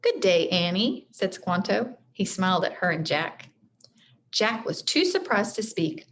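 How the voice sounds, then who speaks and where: A woman reading a children's storybook aloud like a teacher, expressive, speaking directly to her listeners. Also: medium pitch, unhurried. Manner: expressive, addresses listeners directly, stumbles over hard names. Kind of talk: read-aloud storybook narration with friendly asides.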